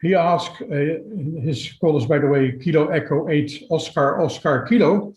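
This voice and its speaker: A man moderating a webinar, reading out audience questions and comments in a halting manner. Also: fairly high pitch, darkish tone. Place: indoors, online webinar. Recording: echoey room sound.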